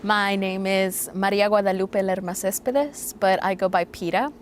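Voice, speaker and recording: A young woman from Mexico telling her story in a measured, reflective way as a documentary subject. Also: medium pitch, fairly even intonation, slight background noise. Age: young adult. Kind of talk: interview narration.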